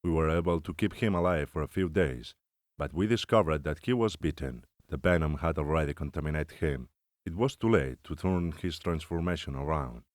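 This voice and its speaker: A man playing a stern, solemn older vampire-slayer elder, speaking gravely and formally in a cinematic audio roleplay. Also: rumbling low, moderate pace, no echo, clean studio sound.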